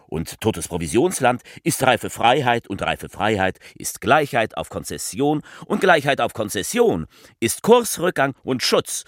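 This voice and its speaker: A man playing a political speechmaker in a theatrical radio piece, addressing the people with halting, emphatic, repetitive rhetoric. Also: medium pitch, no room sound, studio quality, heavily acted. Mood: insistent.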